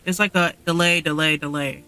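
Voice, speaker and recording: A man's voice: fairly high pitch, fairly even intonation, a little fast, bright, forceful tone, clear, resonant tone. A man giving casual, slangy live commentary as he streams a game, reacting to what happens in it.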